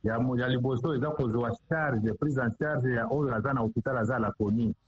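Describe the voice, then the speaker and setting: A man's voice: medium pitch, fairly quick, husky. A man with a Congolese accent giving an explanatory news interview as a provincial official, switching between two languages. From Democratic Republic of the Congo. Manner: code-switches between Lingala and French. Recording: muffled, dull lo-fi line.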